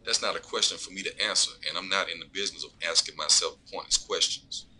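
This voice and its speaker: A man's voice: deep voice, quick pace, bright, forceful tone, a little hiss or hum, low-quality recording. A man playing a smug, corrupt lawman in an audio drama, taunting and profane as he coolly threatens his informant. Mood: cold, taunting. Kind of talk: scripted audio drama dialogue.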